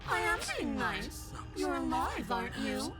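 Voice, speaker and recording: An actress playing a menacing, possessive captive creature in a horror game, speaking with smug, theatrical mockery. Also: female voice, extremely high pitch, sing-song, unhurried, loud music behind the voice, heavily acted. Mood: cold, mocking. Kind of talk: video game voice acting.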